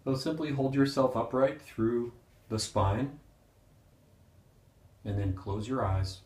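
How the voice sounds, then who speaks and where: A man leading a guided meditation as a teacher, speaking gently and unhurriedly with pauses. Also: mid-range voice. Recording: roomy, echoing sound.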